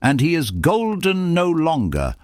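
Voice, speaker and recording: A man's voice: fairly high pitch, huge pitch swings, measured pace, no echo, studio quality, pitched above the performer's own voice. A man voicing a pompous, self-important town mayor in a storybook, in exclamatory phrases. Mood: disdainful.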